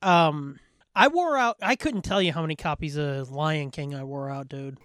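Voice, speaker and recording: A man's voice: fairly high pitch, normal speed, fairly bright tone, smooth, no echo, studio quality. An American man trading casual, joking banter as a podcast co-host. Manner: joking, quipping.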